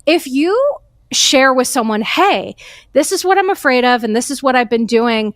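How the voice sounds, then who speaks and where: A woman's voice: sits high, animated, normal speed, dry recording, clean studio sound. A woman hosting a podcast, talking in an earnest, emphatic way in casual conversation. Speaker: podcast host.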